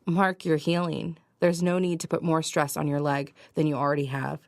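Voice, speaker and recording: A low-pitched female voice with a long reverberant tail. A woman plays a weary, determined survivor of an invasion, telling her own story as audio drama and slipping into dialogue. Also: little pitch movement, normal speed. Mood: concerned, insistent. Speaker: narrator and lead actor. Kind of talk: first-person audio drama narration with acted dialogue.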